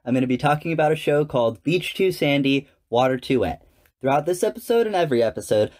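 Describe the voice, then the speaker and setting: A man hosting a solo podcast, talking conversationally in an earnest, enthusiastic manner. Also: medium pitch. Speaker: podcast host.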